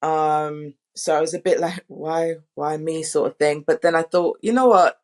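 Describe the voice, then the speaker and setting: Chatty, halting woman in an interview. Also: sits low. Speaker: director, panel guest. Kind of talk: casual interview talk.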